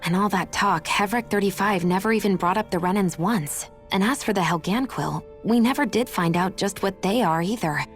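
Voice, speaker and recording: An actress playing a serious, thoughtful young heroine in a video game. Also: female voice, mid-range voice, normal speed, few pauses, faint music behind, natural voice. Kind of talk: video game cutscene acting.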